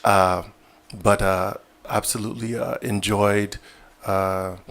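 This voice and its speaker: A man, a physician guest in an interview, answering in a measured, reflective way with many fillers and restarts. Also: lowish voice, fairly even intonation, a bit bright.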